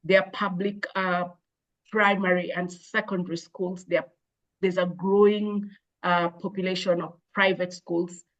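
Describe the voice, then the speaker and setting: A woman, an education expert, answering interview questions in a measured, thoughtful way with frequent hesitations and restarts. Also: fairly low pitch, flat delivery, muffled recording. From Kenya. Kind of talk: explaining in an interview.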